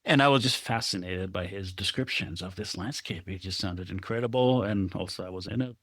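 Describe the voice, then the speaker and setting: Low-voiced reflective man from Israel. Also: brisk, a bit bright, breathy edge, dry recording, clean studio sound. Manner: thoughtful, laughs often while telling stories. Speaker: guest photographer. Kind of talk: reflective conversational interview.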